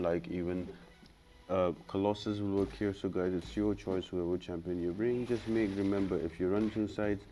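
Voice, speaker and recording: Low-pitched man narrating a game guide, noisy recording. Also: dark tone. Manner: instructional, addresses viewers as guys. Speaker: gaming video host. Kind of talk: unscripted game guide commentary.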